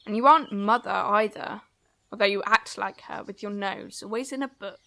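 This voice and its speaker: Woman acting as rebellious young farm daughter, bright sharp voice, sarcastic, insistent, audio drama. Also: mid-range voice, moderate pace, gravelly. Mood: defiant.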